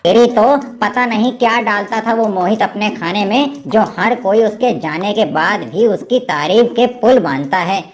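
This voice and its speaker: A woman voicing a greedy, bossy, jealous elder brother in an animated moral story, with a brooding, self-important manner. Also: medium pitch, measured pace, few pauses, natural voice. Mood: jealous, angry.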